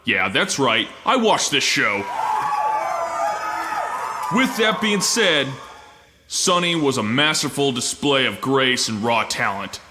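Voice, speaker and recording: Goofy, bright big lumberjack guy acted by a man. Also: medium pitch, big pitch swings, slow pace, long pauses, big-room sound, a little hiss or hum, full character voice. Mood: cheerful, boisterous. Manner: boisterous, rambling. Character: goofy cheerful big lumberjack man aged thirty, easily scared. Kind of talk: comic audio drama acting.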